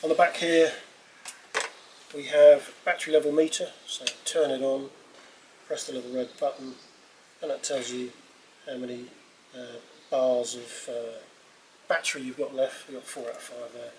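A middle-aged man talks casually through a product demonstration. His delivery is offhand and halting, at an ordinary pitch. The recording has clearly audible background noise. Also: level tone, a little mellow, low-quality recording. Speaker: presenter demonstrating portable PA equipment. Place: small wooden cabin with doors open. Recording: clear background noise, open-room ambience.

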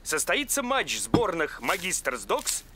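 A man playing a TV sports announcer reading match news in an animated cartoon. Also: a little high, a little slow, noisy background. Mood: announcing. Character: brisk male TV sports announcer. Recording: music under the voice.

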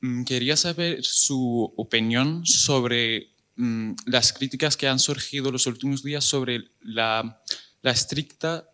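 A man's voice: mid-range voice, takes it slowly, sharp tone. A non-native speaker, a man with a foreign accent, politely and hesitantly putting a question from the audience. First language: not Spanish. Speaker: audience member asking a question. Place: auditorium.

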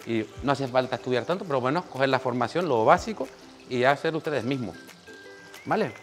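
A Canarian-man in his forties, mid-pitched, leads a hands-on cooking class in a small bright teaching kitchen. He explains each step in a practical way and gives short instructions to the assistant beside him. Faint music plays under his voice. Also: animated, brisk. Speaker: chef giving a cooking demonstration.

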